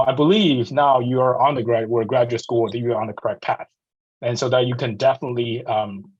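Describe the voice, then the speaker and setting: A man in his thirties from Taiwan with a Taiwanese accent, giving a slide talk to students over a video call, halting with many fillers and restarts. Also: medium pitch, husky, lo-fi sound. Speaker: guest speaker.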